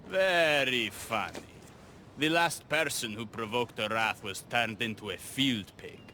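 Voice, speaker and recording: A man playing a smooth-talking, lovestruck rebel with a dramatic, deliberate manner in a video game cutscene. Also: mid-range voice, pushed and bright, a little hiss or hum. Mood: serious, urgent.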